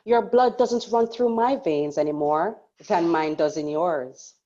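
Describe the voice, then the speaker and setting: A woman's voice: lowish voice, unhurried, dark tone, highs cut off. A woman playing a smug, cold, ambitious rival in a dramatic script read-through.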